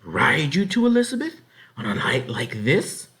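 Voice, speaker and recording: A man's voice: fairly high pitch, big pitch swings, moderate pace, a bit bright, voice pushed up. A man voicing a stern, exasperated farmer's wife with a commanding, exclaiming manner in a read-aloud story. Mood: incredulous.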